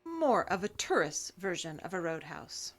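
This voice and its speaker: A woman's voice: lowish voice, big pitch swings, moderate pace. An actress playing a persistent, businesslike female investigator in an audio drama, formal, dry and controlled. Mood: insistent, dry.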